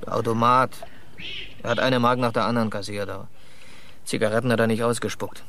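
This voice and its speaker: A man acting a troubled, defensive young driver with a criminal record in a German radio crime play, speaking haltingly. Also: mid-range voice, little pitch movement, fairly bright tone, slight background noise, natural voice. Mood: bitter, confessing. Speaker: radio drama actor.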